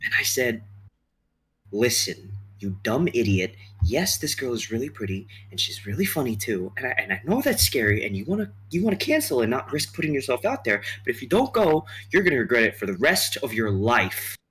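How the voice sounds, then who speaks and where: A man's voice: medium pitch, very animated, brisk, a bit bright, low-quality recording. A man playing a nervous, tipsy, earnest young guy on a date in a comedy sketch reading, rambling and hesitant. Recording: faint noise, amateur livestream audio.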